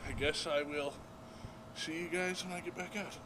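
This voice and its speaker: A man in his thirties with a raspy voice, talking casually to a handheld camera while walking outdoors, rambling and self-deprecating. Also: a little high, steady tone, normal speed, noisy background. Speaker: vlogger. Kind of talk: casual daily vlog. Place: outdoors by a road and parking lots. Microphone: handheld camera at arm's length.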